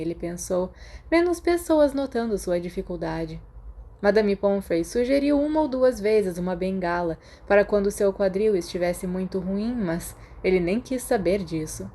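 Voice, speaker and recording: A Brazilian woman narrates fiction from a script. Her voice is soft-edged and mellow, sitting at an ordinary pitch for a woman. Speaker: podfic narrator.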